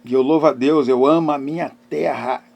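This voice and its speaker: A middle-aged man preaching and giving personal testimony as a pastor, emphatic, with dramatic pauses and swings between loud and hushed phrases. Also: medium pitch, steady tone, vocal fry. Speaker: pastor and therapist hosting a live talk.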